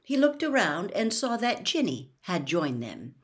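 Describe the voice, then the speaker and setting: A woman reading a story aloud in an expressive narrating style. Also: mid-range voice, a little slow. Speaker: audiobook reader.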